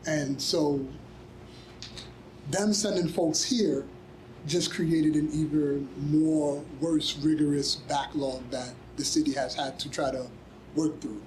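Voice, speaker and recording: A man speaking as a panelist in a conference room, measured and a bit halting as he explains. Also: on the high side, fairly even intonation, a little mellow, slight background noise, low-quality recording. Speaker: panelist, community advocate. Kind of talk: panel discussion answer.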